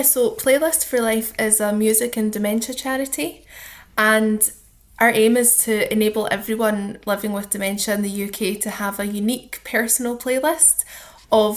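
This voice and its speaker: A woman's voice: fairly high pitch, fairly even intonation, moderate pace. A woman with a Scottish accent speaking as an interview guest, explaining things informally with examples. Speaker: charity communities officer, interview guest.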